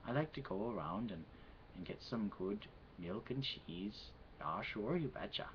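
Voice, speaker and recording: A man's voice: on the low side, big pitch swings, moderate pace, highs cut off, slight background noise. A man putting on a cheery folksy Minnesotan. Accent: Minnesota, exaggerated. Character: cheery folksy Minnesota local, thick accent.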